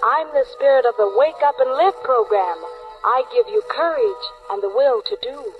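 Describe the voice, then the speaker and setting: An actress playing an encouraging female spirit in a 1940s radio play, speaking in a lofty, drawn-out way. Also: sits high, natural voice. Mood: dreamy encouragement. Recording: dull old radio recording, music behind.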